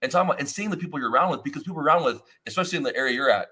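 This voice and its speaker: A man's voice: on the high side, very fast. A man in his thirties hosting a casual podcast from his home studio, talking energetically and rambling through stories over a video call. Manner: energetic, rambling, expressive hand gestures. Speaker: podcast host. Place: home studio with foam-panel wall, indoors.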